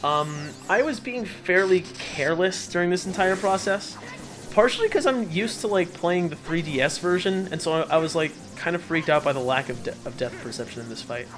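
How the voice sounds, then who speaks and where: A man's voice: fairly high pitch, lively intonation, a little fast. A man hosting a let's play with casual, rambling, jokey and self-deprecating commentary over game music. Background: video game music.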